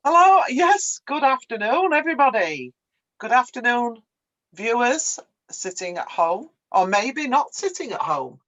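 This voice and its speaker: A chatty, effusive woman in her sixties hosts a live cooking session over a video call. Her voice sits a little high and sounds dry and close, with no room around it. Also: sing-song, measured pace, a bit bright, no room sound, highs cut off. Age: 60s. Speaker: host. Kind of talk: casual video call cookalong. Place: caravan on a campsite.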